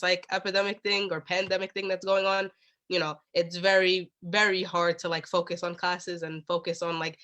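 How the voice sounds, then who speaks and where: A woman's voice: lowish voice, fairly bright tone. A woman in her twenties chatting casually and informally as a guest on a video call. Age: 20s. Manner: informal, peppered with "like" and "you know". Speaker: guest, college student and former intern. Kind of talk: casual chat.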